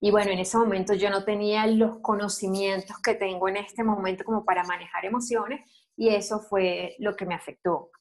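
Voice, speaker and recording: A Venezuelan woman in her forties, a guest on an interview, recounting her experience in a steady, storytelling way. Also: mid-range voice, normal speed. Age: 40s. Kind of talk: video call interview.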